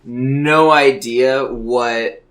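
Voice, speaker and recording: A man's voice: mid-range voice, fairly even intonation, extremely slow, a bit bright. A man co-hosting a podcast in casual group chat, earnest and trying to keep the discussion on track. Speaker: podcast co-host.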